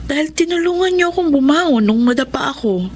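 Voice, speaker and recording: A young working single mother, gentle and sincere, played by a woman. Her voice is a little high. Faint noise sits behind her. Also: expressive, a little fast. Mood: grateful. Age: young adult. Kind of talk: Tagalog radio-style drama acting.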